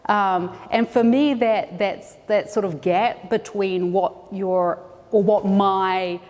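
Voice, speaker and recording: A woman from New Zealand, a scholar and educator, giving reflective interview answers with frequent pauses and restarts. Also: medium pitch, steady tone, darkish tone, long reverb tail. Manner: thoughtful, hesitant with frequent ums and restarts.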